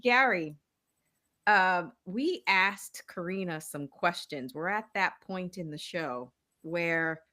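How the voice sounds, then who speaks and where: A middle-aged woman hosts a relaxed chat with a playful, teasing manner and quick laughs. Her voice is bright and edged, and her pitch leaps around with plenty of life. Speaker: host. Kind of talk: casual chat.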